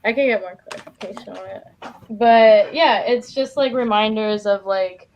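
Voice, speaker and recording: A woman's voice: medium pitch, normal speed, darkish tone. A woman doing a casual live tarot reading as a guest, chatty and informal, feeling her way with plenty of "like". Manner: informal, chatty, hesitant phrasing with frequent "like".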